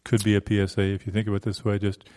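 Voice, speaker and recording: A man's voice: lowish voice, monotone, rapid-fire, a little mellow, lightly acted. A man playing a cynical small-town radio co-host, mild and hedging, with dry pessimism in improvised comedy banter.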